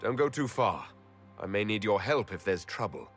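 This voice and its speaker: A man playing a resolute elven hero in a fantasy video game, solemn and dry, with measured dramatic delivery. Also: fairly low pitch, lively intonation, faint music behind, lightly acted.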